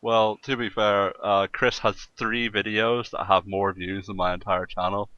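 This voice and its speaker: A man's voice: lowish voice, flat delivery, a little slow, no room sound, muffled recording. A man hosting a casual gaming podcast, joking and sweary, often laughing as he talks. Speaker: podcast host.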